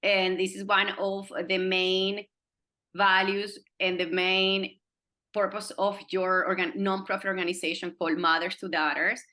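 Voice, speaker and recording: A woman's voice: mid-range voice, little pitch movement, a little slow, sharp tone. A woman hosting a podcast, asking her guest long, encouraging questions with frequent restarts. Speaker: podcast host. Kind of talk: interview questions.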